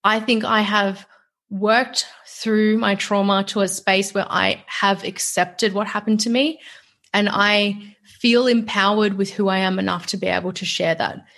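A woman's voice: mid-range voice, fairly even intonation, a little slow, a bit bright. An Australian woman, a young adult, talking openly and earnestly as a guest on a podcast interview. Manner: talkative, earnest, open.

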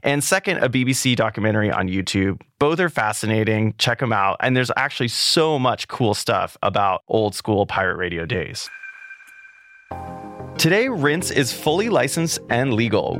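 A man's voice: mid-range voice, a bit bright. A man from California hosting a music and culture podcast in a studio, reading his script in an upbeat conversational way and then chatting with a guest. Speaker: podcast host. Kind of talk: scripted podcast narration and interview.

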